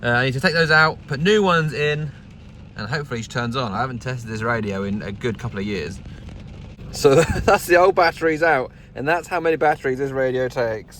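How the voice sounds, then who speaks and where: A man's voice: medium pitch, a bit bright, slight background noise. A British man chatting casually and informally to camera as a vlogger, sitting inside a vehicle. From United Kingdom. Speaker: vlogger and radio hobbyist. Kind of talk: casual vlog chat to camera. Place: inside a parked pickup truck.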